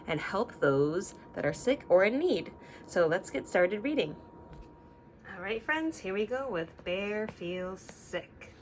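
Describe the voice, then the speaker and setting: A woman teacher reading a picture book aloud to young children, instructive and deliberate, stopping to put questions to them. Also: medium pitch, a little hiss or hum.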